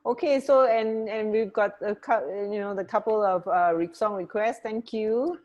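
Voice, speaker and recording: A woman's voice: mid-range voice, moderate pace, a little mellow, dull audio. A woman co-hosting a radio show in casual, unscripted chat, musing and often trailing off mid-thought. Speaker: radio co-host.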